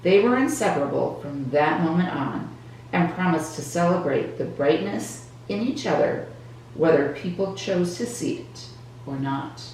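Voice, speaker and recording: A woman narrates a children's picture book in a low register, taking her time over the words. Her delivery is expressive and deliberate. The sound is very echoey, picked up some way from the microphone. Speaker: children's storybook reader for a church Sunday school. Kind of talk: scripted storybook narration for children. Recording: roomy echo, distant mic, faint noise.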